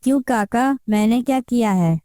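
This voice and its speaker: A woman's voice: on the high side, moderate pace, mellow, dry recording, clean studio sound, lightly acted. A woman voicing an earnest, curious, anxious young village boy in a cartoon moral story. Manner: earnest, wondering. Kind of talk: Hindi animated moral story acting.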